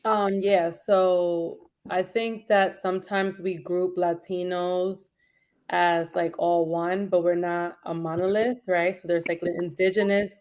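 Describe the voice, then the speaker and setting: A woman's voice: on the low side, level tone, a little slow, darkish tone, slight background noise. A woman from New York speaking as a panel guest, thoughtful and full of fillers like "um" and "like". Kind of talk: casual discussion.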